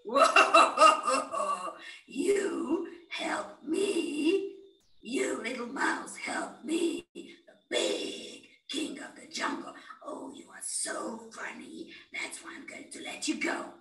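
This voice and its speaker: A woman telling a children's story, voicing a big, proud male lion who talks in gruff whispers and sudden shouts. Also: on the high side, slow pace, pitched above the performer's own voice. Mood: mocking. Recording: roomy, from a distance.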